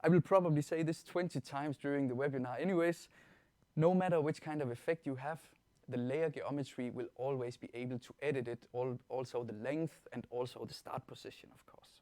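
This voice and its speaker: A man with a Danish accent giving an informal software tutorial as a webinar presenter, explaining things step by step in a reassuring way. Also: medium pitch, brisk, dry recording. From Denmark. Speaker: webinar presenter, product trainer.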